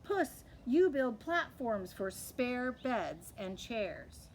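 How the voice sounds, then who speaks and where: A woman's voice: fairly high pitch, sing-song, extremely slow, a little hiss or hum, voice pushed up, exaggerated voice. Kindly old eccentric storybook lady, read by a woman. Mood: brisk, welcoming. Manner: chatty, rhythmic rhyming speech.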